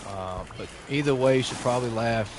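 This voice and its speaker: A man hosting a gaming channel, chatting casually over gameplay in a rambling, jokey way full of fillers, his voice going slightly hoarse. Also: mid-range voice, a little fast. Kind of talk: casual let's-play commentary. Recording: noisy background under the voice.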